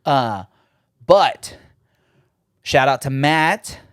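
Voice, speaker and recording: A man with a Midwestern American accent, co-hosting a podcast with profane, jokey, animated banter. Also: medium pitch, very slow, clean tone, dry recording, clean studio sound. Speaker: podcast co-host. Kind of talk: casual banter. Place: podcast studio.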